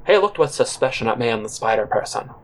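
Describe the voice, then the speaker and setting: A man's voice: mid-range voice, expressive, fast talker. A man narrating a comic audio drama in the first person, with a rambling, awkward, conversational manner.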